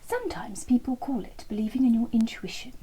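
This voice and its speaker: Woman doing a gentle, wise dinosaur. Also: fairly high pitch, sing-song, slight background noise. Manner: soft, slow reassurance.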